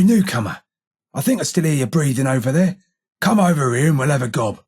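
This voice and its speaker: A gruff old blind ghoul from a video game, speaking in an English accent. The voice is husky and rough, set a little high for a male. The delivery is blunt and sardonic, full of salty slang, as befits a seasoned gang right-hand man. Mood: gruff, wry. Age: older. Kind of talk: video game character dialogue.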